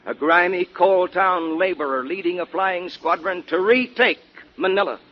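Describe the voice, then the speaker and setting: American orator on muffled old radio. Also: male voice, high-pitched. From United States, Kansas. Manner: declamatory, deliberate phrasing. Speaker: guest speaker. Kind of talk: 1940s patriotic oratory. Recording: dull 1940s radio recording.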